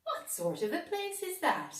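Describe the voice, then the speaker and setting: Irish woman doing a bossy excitable storybook cat, really high squeaky-up pitch, echoey room. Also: heavily acted. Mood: curious. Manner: brisk, exclamatory, questioning. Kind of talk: children's storybook character voice. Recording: echoey room, distant microphone.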